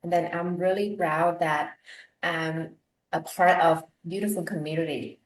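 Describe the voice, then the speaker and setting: A woman's voice: deep voice, fairly even intonation, a little slow. A woman with a Vietnamese accent introducing herself casually as a panelist, recorded in an echoey room. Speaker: talk show panelist, online business owner. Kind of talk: casual spoken self-introduction. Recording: echoey room, online live stream.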